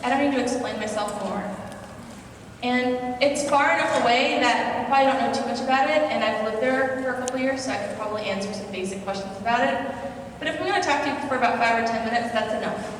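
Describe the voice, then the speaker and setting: A young woman with an American accent tells a story to a conference audience, her voice sitting a little high. She acts out lines of dialogue as she goes. The recording is distant and very echoey, with a long hall reverb and clear background noise. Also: quick pace. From Singapore. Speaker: conference speaker. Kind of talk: personal storytelling talk.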